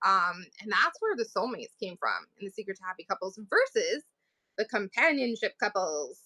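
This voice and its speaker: Lively, bright-voiced woman who giggles. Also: medium pitch, moderate pace, no room sound. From New England. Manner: giggly, laughs often while talking. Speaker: author guest. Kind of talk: casual chat.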